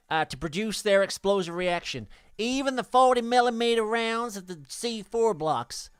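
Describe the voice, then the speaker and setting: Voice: mid-range voice, fairly bright tone, smooth, no echo. A podcast host reading listener mail aloud in a jokey, sweary way, often stumbling over the text.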